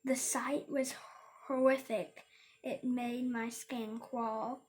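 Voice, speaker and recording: A woman's voice: a little high, flat delivery, slow pace, a little mellow. A young girl reading a rhyming storybook aloud and chatting casually, stumbling over and repeating words. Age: child.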